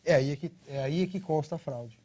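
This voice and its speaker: A middle-aged man with a Brazilian Portuguese accent, a company head being interviewed as a guest, explaining a process step by step and often adding quick tag questions. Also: fairly high pitch, a little mellow, slight background noise. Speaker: interview guest, citizenship consultancy CEO.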